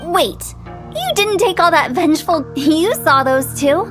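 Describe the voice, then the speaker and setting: A woman's voice: very high voice, expressive, measured pace, music in the background. A woman playing a confident, playful young female funeral director in a video game, speaking in an assured, articulate and theatrical way. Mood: matter-of-fact.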